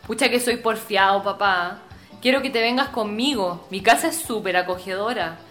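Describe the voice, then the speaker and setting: Radio drama: educated young Chilean daughter acted by a woman, insistent, colloquial, bright highish voice, light music behind. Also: normal speed, natural voice. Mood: pleading. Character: insistent, status-conscious doctor daughter pleading with father.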